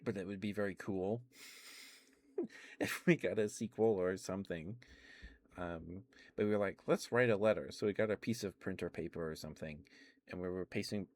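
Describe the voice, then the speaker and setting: Very deep-voiced man rambling softly. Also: normal speed, no echo. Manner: meandering, many fillers and restarts, chuckles often. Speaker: ASMR streamer. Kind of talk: soft-spoken ASMR rambling.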